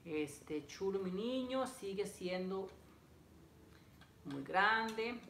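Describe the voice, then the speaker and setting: A man's voice: high voice, lively intonation, drawn-out words, vocal fry, pushed and bright. A young adult man, a vlogger, chatting casually and repetitively with viewers while he works with his hands in a living room. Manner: chatty, reads out greetings, repeats phrases. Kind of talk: casual livestream chat with viewers while assembling furniture. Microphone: camera a few feet away. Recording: echoey room, noisy, clicks.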